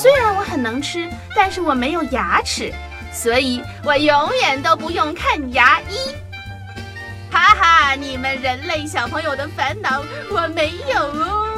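A woman telling a children's story in a playful, animated first-person voice. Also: high voice, measured pace. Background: background music.

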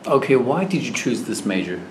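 A man's voice: mid-range voice, big pitch swings, fairly quick. A man acting as examiner, asking mock speaking-test questions in short prompts and backchanneling often. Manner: brief prompts, frequent backchannel mm-hmm. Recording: echoey room with clear noise.

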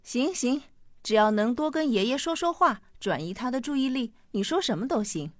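A woman's voice: on the high side, animated, normal speed, no room sound, studio quality, close to an everyday voice. A woman playing a caring, practical mother in a family radio drama. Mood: agreeable, coaxing.

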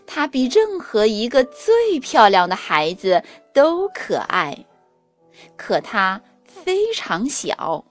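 A woman narrating a children's bedtime story, gently coaxing and speaking directly to the child listening. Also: a little high. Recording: soft background music.

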